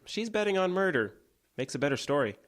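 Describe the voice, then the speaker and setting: A relaxed young man. His tone is dry and wry, and his delivery stays easygoing. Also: fairly high pitch, brisk.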